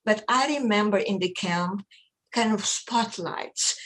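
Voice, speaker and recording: An older woman whose first language is German, telling her life story in an interview, speaking haltingly and repeating words as she searches. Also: mid-range voice, fairly bright tone, poor audio. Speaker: interview guest, psychologist. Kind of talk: personal testimony in an interview.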